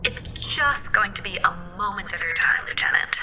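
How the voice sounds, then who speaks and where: A woman's voice: medium pitch, expressive, normal speed, sharp tone, soft background music, rough recording. An actress playing an eager, polite, slightly hesitant female AI autopilot co-hosting a radio show in a space audio drama. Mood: awkward, polite. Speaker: co-host of an in-story radio show.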